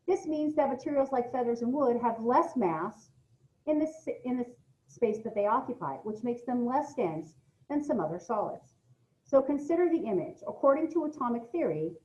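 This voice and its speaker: A woman's voice: a little high, darkish tone, lo-fi sound. A woman with an American accent reading a lesson aloud as a science teacher, explaining things directly to her students with informal asides. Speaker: middle school science teacher.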